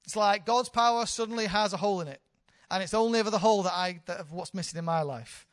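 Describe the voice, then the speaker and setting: A woman's voice: medium pitch, fast talker, dry recording, studio quality. A woman in her thirties, a church leader, giving an informal, candid sermon with self-deprecating humour. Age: 30s.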